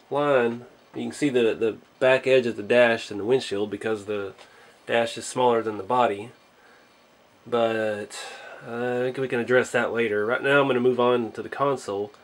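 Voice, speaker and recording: A man hosting a hobby build video, talking casually and thinking aloud as he works with his hands. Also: mid-range voice.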